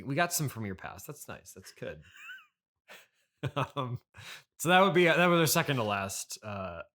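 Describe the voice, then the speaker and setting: A man's voice: mid-range voice, bright, forceful tone. A man hosting an improvised comedy interview, stammering and placating as he guides the conversation. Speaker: podcast host and interviewer.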